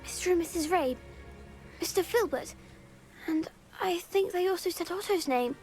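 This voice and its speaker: A woman's voice: extremely high pitch, moderate pace, darkish tone, poor audio. A woman voicing a vulnerable, earnest little boy in a video game. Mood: anxious, earnest. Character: frightened, grateful little boy. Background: faint music.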